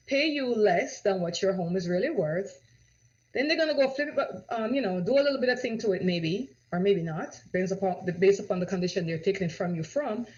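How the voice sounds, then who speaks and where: Jamaican woman giving a persuasive sales pitch. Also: darkish tone. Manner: persuasive, rhetorical questions, repeats "right?". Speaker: real estate agent. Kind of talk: direct-to-camera sales pitch. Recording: dull lo-fi audio.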